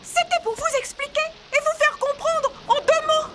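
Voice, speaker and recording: A woman's voice: extremely high pitch, steady tone, normal speed, very noisy. A woman voicing a chatty, self-important bird in a French cartoon dub, telling things in a dramatic, long-winded way. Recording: loud music under the voice.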